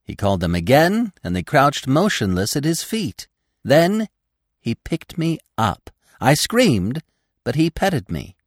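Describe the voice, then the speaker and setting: A proud, naive young deer played by a man from a storybook. He talks in a superior, self-satisfied way, raised in pitch and unhurried, with lively swings of tone. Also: no echo, clean studio sound. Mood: awed, dramatic. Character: boastful, naive young male deer, proudly telling wonders. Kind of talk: storybook character voice.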